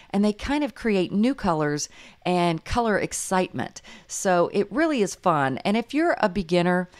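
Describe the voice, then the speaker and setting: Hoarse older woman teaching painting. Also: lowish voice. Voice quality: raspy. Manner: gentle, encouraging, meandering with fillers. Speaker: art instructor. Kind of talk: painting tutorial voice-over.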